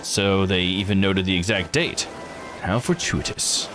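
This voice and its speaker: A man voicing a composed, dry-witted seeker of legends in a visual novel, with measured, formal manner. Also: deep voice, pitched below the performer's own voice. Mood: intrigued. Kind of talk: visual novel character read aloud. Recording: game music under the voice.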